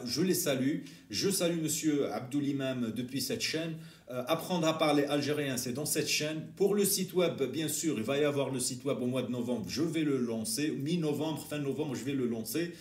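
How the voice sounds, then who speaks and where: A man talking informally and unscripted to his online viewers, halting and repeating himself, in an echoey room. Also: medium pitch. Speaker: online language channel host.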